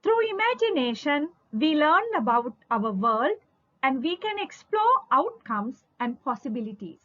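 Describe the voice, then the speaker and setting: A woman giving a scripted literature lesson as a lecturer, in a deliberate, emphatic, instructional manner, on a lo-fi recording. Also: high voice, big pitch swings, fairly bright tone, soft background music. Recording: dull lo-fi audio.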